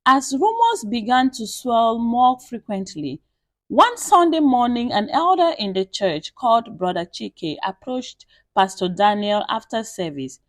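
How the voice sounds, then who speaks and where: A woman telling a dramatic scripted story with expressive, deliberate phrasing. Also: on the high side, dry recording. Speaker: storyteller and channel host.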